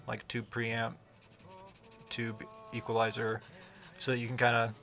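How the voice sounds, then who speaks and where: A man with a fairly low voice, chatting casually on a livestream and rambling with many fillers and restarts. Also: fairly bright tone. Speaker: streamer talking about microphones.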